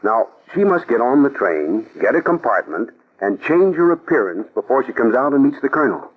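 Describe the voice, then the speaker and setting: An eager young male assistant agent in a vintage radio drama. He reports briskly in a husky, mid-pitched voice. The sound is thin and narrow, like a telephone line. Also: sing-song. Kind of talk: 1940s radio acting.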